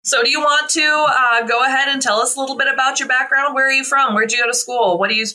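Bright-voiced female podcast host. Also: high voice, fairly even intonation, fast. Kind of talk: casual interview.

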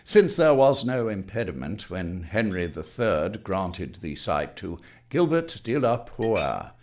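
An actor playing an erudite, precise historian in a radio drama, with a formal lecturing manner. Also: male voice, sits low, very animated, slow, a little mellow, a little hiss or hum, heavily acted. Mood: scholarly.

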